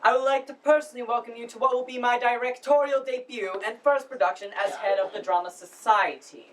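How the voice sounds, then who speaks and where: A woman's voice: a little high, a little fast, natural voice. Woman doing a stuffy earnest drama club director, comic stage speech, mic far away, bit of hiss. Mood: proud, formal. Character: pompous, earnest amateur drama society director welcoming an audience. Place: school showcase stage, recorded from the audience. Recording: noisy, distant stage recording with clicks.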